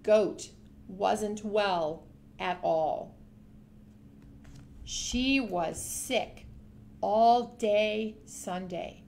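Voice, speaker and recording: A woman's voice: medium pitch, very slow, vocal fry, pauses often, darkish tone, slight background noise. A woman storyteller reading a picture book aloud expressively, speaking directly to young children.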